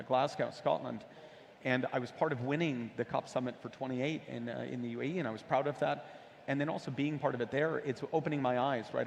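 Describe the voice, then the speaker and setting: American man, gravelly voice in a reverberant hall. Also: medium pitch. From United States. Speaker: entrepreneur, panel guest. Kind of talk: personal storytelling on a panel. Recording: reverberant hall with background noise.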